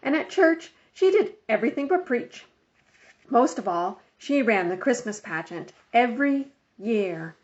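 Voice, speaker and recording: A woman narrating a children's book aloud in an expressive, scripted storytelling style. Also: on the high side, unhurried. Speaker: storybook reader. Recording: dull lo-fi home recording.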